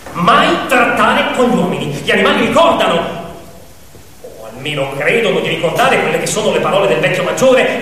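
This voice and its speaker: A man's voice: high-pitched, a bit bright, a little hiss or hum. A man narrating a radio play in a dramatic, declamatory and ironic theatrical style. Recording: echoey hall, distant mic, music behind.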